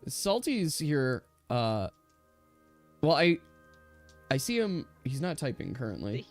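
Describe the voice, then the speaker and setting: A man's voice: mid-range voice, very animated, slow pace, faint music behind. A man speaking casually as game master, narrating and managing an online tabletop game. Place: online voice call.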